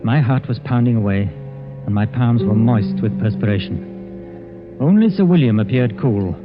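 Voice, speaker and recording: Music plays clearly behind a man acting a vintage radio narrator. His voice is medium-pitched and tense, telling the story dramatically. The recording is dull, like old broadcast audio. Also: lively intonation, normal speed, natural voice. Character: tense dinner guest narrating his suspense tale.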